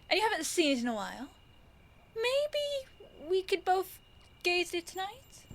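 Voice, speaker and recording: A woman's voice: sits high, fairly bright tone, exaggerated voice. A woman playing a clingy, possessive rich young woman in an audio roleplay, theatrical and cooing with sweet menace. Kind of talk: yandere ASMR audio roleplay.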